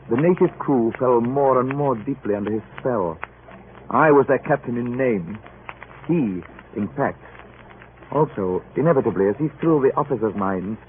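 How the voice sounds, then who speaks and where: An actor playing a beleaguered, formal ship's captain in 1940s radio drama, speaking earnestly and deliberately. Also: male voice, mid-range voice, close to an everyday voice. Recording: old narrowband radio recording with clear noise.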